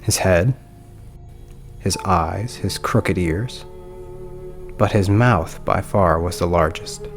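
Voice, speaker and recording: A man's voice: sits low, slow, pauses often. A man reading a scripted first-person horror story as its narrator. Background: soft music.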